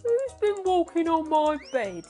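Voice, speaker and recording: A woman's voice: very high voice, sing-song, a little slow, warm tone, faint music behind, pitched above the performer's own voice, full character voice. A woman voicing a hungry, honey-loving male bear in a children's storybook, eager and plaintive. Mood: puzzled, plaintive.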